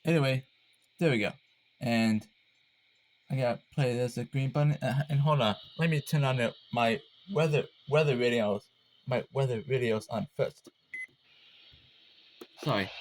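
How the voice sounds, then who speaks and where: A man hosting a casual hobby demonstration video, speaking in a halting, rambling, apologetic way. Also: mid-range voice, a little hiss or hum, poor audio.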